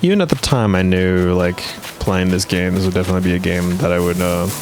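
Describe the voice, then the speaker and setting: A young man hosting a gaming video, talking casually and rambling with many fillers and restarts, his voice worn and rough at points. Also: low voice, fast, noisy background. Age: young adult. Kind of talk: casual gameplay commentary. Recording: game music playing under the voice.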